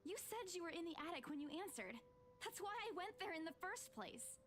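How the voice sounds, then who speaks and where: An actress playing a dazed but stubborn young real estate agent in a visual novel game. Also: female voice, very high-pitched, very animated, brisk, a little hiss or hum. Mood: dazed, unsure.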